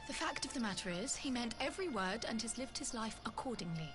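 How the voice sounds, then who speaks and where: Actress as lively, higher-voiced, composed woman mentor. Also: quick pace, natural voice. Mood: wry, fond. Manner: genteel, old-fashioned formal wording. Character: kindly, composed, self-assured woman mentor. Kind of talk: video game cutscene voice acting. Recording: game audio with music behind.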